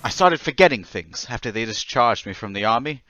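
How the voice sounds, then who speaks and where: An actor playing a troubled, desperate man confessing to murders, halting and pleading in old time radio drama style. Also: mid-range voice, expressive, crowd noise, lightly acted. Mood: brooding, unsettled. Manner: halting, agitated, stammering, pleading.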